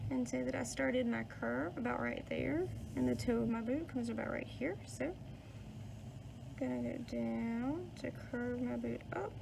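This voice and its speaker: High-pitched, creaky-voiced woman teacher. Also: background noise. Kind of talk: children's read-aloud and instruction.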